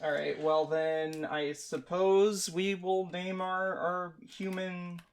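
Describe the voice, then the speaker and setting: A man's voice: high voice, level tone, slow pace, clear, resonant tone. A man co-hosting a let's-play, joking casually in a goofy, self-mocking way while he plays. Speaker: co-host of a let's-play show. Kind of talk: casual joking banter while playing a game.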